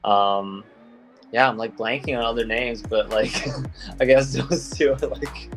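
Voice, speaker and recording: A man's voice: medium pitch, fairly even intonation, unhurried, soft background music. A young man from Belgium, a guest skater talking in a loose, laid-back way with lots of fillers. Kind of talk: casual interview chat.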